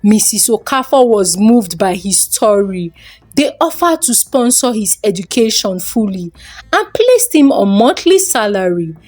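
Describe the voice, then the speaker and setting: A woman's voice: mid-range voice, sing-song, unhurried, darkish tone. A woman storyteller giving a dramatic scripted narration of a folktale.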